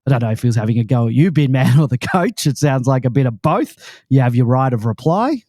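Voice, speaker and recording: A man hosts a casual football podcast with a mellow, relaxed tone and wry asides. His voice sits close and dry, with no room sound. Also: medium pitch, quick pace, dry recording. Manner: relaxed, wry, introduces guests and reads feedback. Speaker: podcast host.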